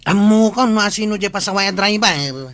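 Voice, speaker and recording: Earnest, bright-voiced village watchman. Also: high-pitched, a little fast. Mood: informing. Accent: Ilocano Filipino. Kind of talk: Filipino radio comedy drama acting.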